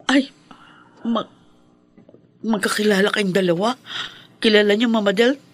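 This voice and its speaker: A woman's voice: mid-range voice, unhurried, close to an everyday voice. An actress playing a young bar worker in a Filipino radio drama, hesitant, respectful and emotional in her delivery. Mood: surprised. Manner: hesitant, stammering, deferential.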